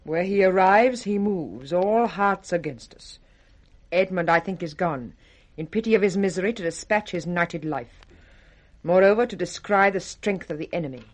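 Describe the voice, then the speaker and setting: A woman's voice: lowish voice, animated, moderate pace. An actress playing a cold, jealous, scheming noblewoman, commanding and insinuating, with a British accent in 1960s radio Shakespeare. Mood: cool probing.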